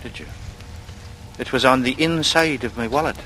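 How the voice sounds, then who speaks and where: An actor in a vintage radio drama playing a vain, sly young charmer with an ingratiating, needling manner. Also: male voice, mid-range voice, moderate pace, creaky, sharp tone. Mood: casual, pointed. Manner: ingratiating, needling, insinuating, colloquial. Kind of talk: 1940s radio acting. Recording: noisy vintage radio recording.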